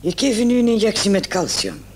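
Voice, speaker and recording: A man playing a brisk, condescending emergency doctor on night duty in a vintage radio play. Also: high-pitched, wildly swooping pitch, heavily acted. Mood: clinical, matter-of-fact. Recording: old radio recording with clear hiss.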